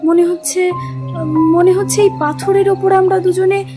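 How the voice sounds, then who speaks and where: Very high-pitched, hesitant young wife, acted by a woman. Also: level tone, unhurried, over music. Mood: dreamy, wistful. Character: young wife, anxious, tender and dreamy. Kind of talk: audio story character acting.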